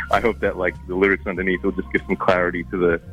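A man with a deep voice and an accent that blends Arabic and New Zealand English talks casually as an interview guest. He rambles, restarting his sentences and filling gaps with "like" and "you know". The recording is dull and lo-fi, with faint music underneath. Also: little pitch movement, fast. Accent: mix of Arabic and New Zealand. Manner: rambling, many fillers and restarts.

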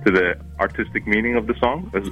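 A man's voice: on the low side, quick pace, muffled recording, faint music behind. A man with an accent mixing Arabic and New Zealand English, a musician talking casually in an interview, rambling with many fillers and restarts. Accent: mix of Arabic and New Zealand. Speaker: musician guest.

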